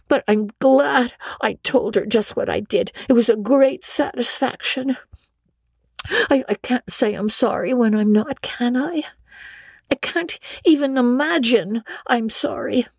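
A woman voicing a stubborn, mournful young orphan girl in a storybook reading, theatrical and halting. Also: sits high, vocal fry, darkish tone, no room sound, pitched above the performer's own voice, full character voice. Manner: theatrical, halting with stammered repeats.

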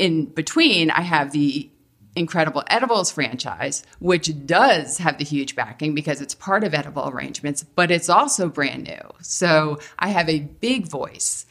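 A woman's voice: sits low, a bit bright. A middle-aged woman, a franchise owner, talking casually as a podcast guest with lots of fillers and restarts. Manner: hesitant, many fillers and restarts. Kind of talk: casual interview talk.

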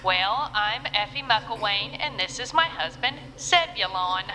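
A woman playing a gracious, devout Southern preacher's wife in an audio drama, speaking gently and formally. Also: mid-range voice, animated, a little slow, in a large hall, a little hiss or hum, rough recording. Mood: serenely devout. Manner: gentle, formal, scripture-quoting.

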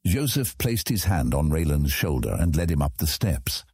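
Male narrator, very low and husky, scripted epic fantasy audiobook delivery, dry studio sound. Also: big pitch swings, normal speed, a little mellow, dry recording.

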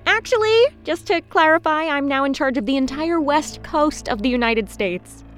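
A woman's voice: sits high, lively intonation, moderate pace, a bit bright, faint music behind. A woman playing a self-important, fiercely certain fan club commandante in a mock interview, pompous and emphatic. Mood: defensive, emphatic. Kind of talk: mockumentary audio drama interview.

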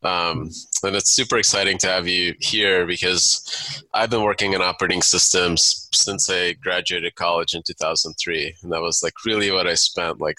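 A man's voice: low-pitched, bright, forceful tone, no echo, rough recording. A man in his forties hosting a casual podcast interview, easygoing and full of likes and ums. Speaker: podcast host.

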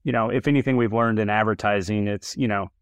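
A low-voiced man hosting a podcast, chatting loosely with plenty of "like" and "you know". The sound is dry and close, with no room around it. He keeps an easy, informal tone. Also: quick pace, clear, resonant tone, dry recording. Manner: relaxed, chatty, many fillers and restarts. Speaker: podcast host. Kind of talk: casual interview chat.

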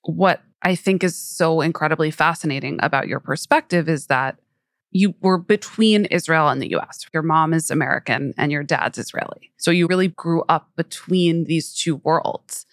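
Woman, low voice, interviewer, curious and thoughtful, dry close sound. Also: normal speed, no echo. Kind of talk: casual interview questions.

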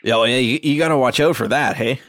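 A man's voice: mid-range voice, fast, fairly bright tone, pitched below the performer's own voice, natural voice. A man voicing an easygoing teenage boy who talks hesitantly and casually.

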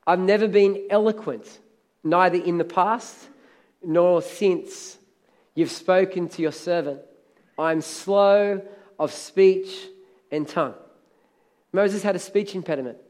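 A middle-aged man preaches a sermon, his voice worn hoarse and crackly. He speaks in a fairly high register, and he drives his points home with energetic, emphatic repetition. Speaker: pastor.

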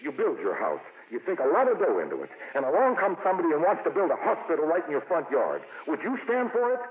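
An actor playing a blunt, stubborn, defensive homeowner in the emphatic style of 1950s radio drama. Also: male voice, on the high side, huge pitch swings, quick pace. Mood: defensive, heated. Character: stubborn, blunt, defensive middle-class homeowner, adult man. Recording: muffled, noisy old radio broadcast.

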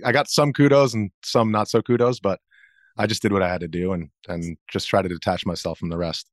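American male interview guest, lowish voice, casual delivery, dry close sound. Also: steady tone, a little fast, no echo. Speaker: interview guest, video journalist.

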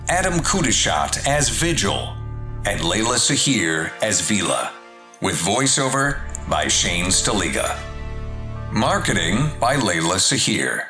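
Male credits announcer, slow-paced, animated pitch, roomy echo, light music behind. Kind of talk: scripted credits read.

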